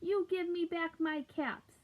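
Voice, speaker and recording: A woman voicing an angry grown-up cap peddler from a picture book, scolding and shouting demands over a tinny lo-fi recording. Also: extremely high pitch, steady tone, measured pace, pitched above the performer's own voice.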